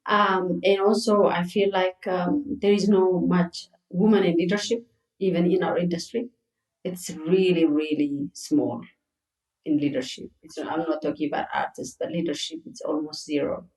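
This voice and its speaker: A woman's voice: on the low side, moderate pace, darkish tone, roomy sound, low-quality recording. A middle-aged woman from Ethiopia who runs a circus, answering interview questions earnestly and repeating words for emphasis. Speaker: circus founder and guest.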